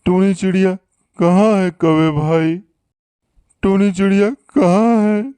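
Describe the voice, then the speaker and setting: A man's voice: sits high, fairly even intonation, slow pace, mellow, clean tone, no room sound. A man playing a bossy male hawk in a cartoon story, with a theatrical, demanding manner. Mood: remorseful, pleading. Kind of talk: Hindi cartoon story voice acting.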